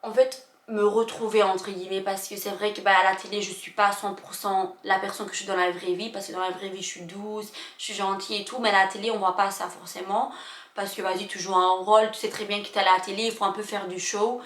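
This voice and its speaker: A woman's voice: medium pitch, level tone, a bit bright. Emotional young woman in an echoey room. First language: not French. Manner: emotional, halting, many fillers and restarts. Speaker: vlogger. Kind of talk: confessional personal storytelling.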